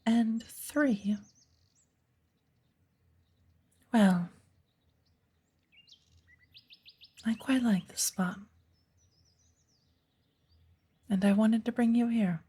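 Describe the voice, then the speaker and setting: A woman playing a patient witch mentor in an ASMR roleplay, speaking softly, formally and with measured deliberation. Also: on the high side. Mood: calm, fond. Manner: measured, deliberate, formal, often hushed and breathy. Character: patient witch mentor of the woods, gently teaching magic.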